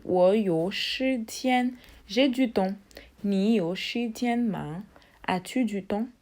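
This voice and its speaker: A woman gives an informal language lesson at a slow, deliberate pace. She says each word slowly, sometimes more than once, then explains it plainly. Her voice sits at an ordinary middle pitch. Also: a little mellow. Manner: repeats Chinese words slowly, then explains in French; drills tones in a high voice. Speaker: Chinese language teacher.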